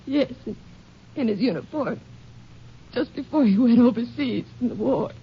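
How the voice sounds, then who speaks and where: A fragile, bereaved young wife from vintage radio melodrama. Her high voice is breathy and tremulous, with halting, emotional phrasing. The old recording sounds muffled. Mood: subdued. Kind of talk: 1940s-50s melodramatic radio acting.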